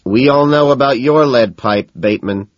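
A domineering young yuppie guy played by a male narrator in an audiobook. He is exasperated and sarcastic, with raised pitch and emphatic, stretched words, recorded dry. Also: moderate pace, no room sound, dull audio, lightly acted. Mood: mocking.

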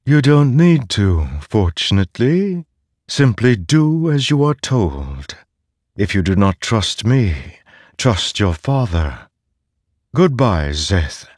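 A man's voice: on the low side, sing-song, slow pace, a little mellow, no echo, studio quality, pitched above the performer's own voice, heavily acted. A man doing a cold commanding voice in the head. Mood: imperious. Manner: imperious, cutting. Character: cold commanding disembodied male voice.

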